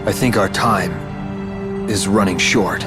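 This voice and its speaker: A grave, noble warrior from a fantasy video game. His lowish voice is formal and resolute, set against loud music. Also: unhurried.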